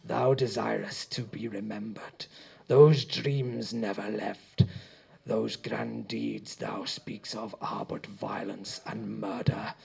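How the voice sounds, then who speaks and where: A man's voice: on the low side, steady tone, gravelly, darkish tone, breathy edge, heavily acted. A man doing a gloating ancient sea god. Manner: slow, archaic, gloating menace.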